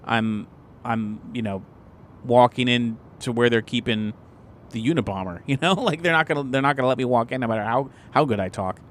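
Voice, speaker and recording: A man's voice: mid-range voice, fairly quick, a little hiss or hum. A man chatting casually as a player in a tabletop role-playing game, working out plans. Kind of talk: casual game planning chat.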